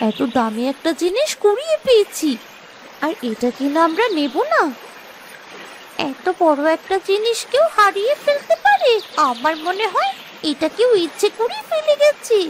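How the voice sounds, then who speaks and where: A woman voicing excitable, squabbling young village sisters in a children's moral-story cartoon, with exclamatory, theatrical delivery. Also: very high voice, background noise, full character voice.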